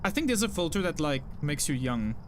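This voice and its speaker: A man's voice: a little high, big pitch swings, brisk, noisy background. A young man from South Africa with an Afrikaans English accent, chatting casually with his live-stream audience and repeating himself with lots of "like" fillers. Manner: chatty, apologetic, frequent self-repeats and "like" fillers. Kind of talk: casual live-stream chat reading chat messages.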